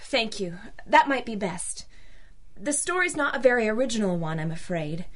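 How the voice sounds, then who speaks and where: A woman's voice: mid-range voice, expressive, normal speed, close to an everyday voice. Mid-range voice, woman acting a demure society girl, anxious, courteous, formal, old-time radio drama. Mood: wistful. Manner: polite, formal, hesitant.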